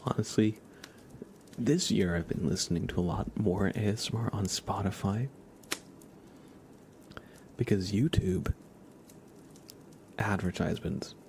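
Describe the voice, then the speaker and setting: Hushed, creaky low-voiced man, ASMR streamer. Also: slight background noise. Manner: hushed, often slipping into whisper. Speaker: ASMR livestream host. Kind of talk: soft ASMR livestream chat.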